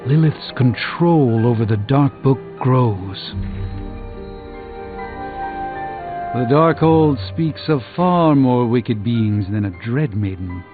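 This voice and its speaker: A grave, learned sorcerer of middle age in a video game. He speaks formally and with measured foreboding at a medium pitch. The sound is thin and phone-like, with soft music behind. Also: animated. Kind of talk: scripted video game dialogue.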